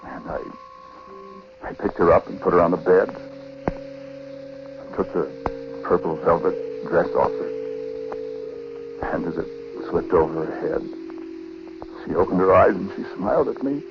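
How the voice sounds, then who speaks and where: An actor playing a troubled, remorseful husband who talks in a halting, conversational way, in 1940s radio drama style. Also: male voice, sits low, over music. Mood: brooding confession. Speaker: lead actor and narrator. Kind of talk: 1940s radio acting, first-person confessional narration. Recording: noisy, muffled 1940s radio recording.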